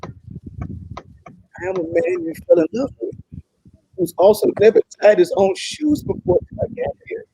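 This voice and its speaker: A man's voice: very high voice, wildly swooping pitch, unhurried, gravelly, pauses often, dark tone, exaggerated voice, low-quality recording. A man playing an earnest, lovesick young African prince in a comedic table read, pleading dramatically. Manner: pleading, dramatic, repeats words.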